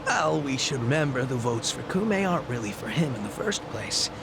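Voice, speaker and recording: A man voicing a calm, calculating strategist with a polite, reasoned manner in a video game dub. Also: mid-range voice, normal speed, vocal fry, background noise. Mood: reasoning.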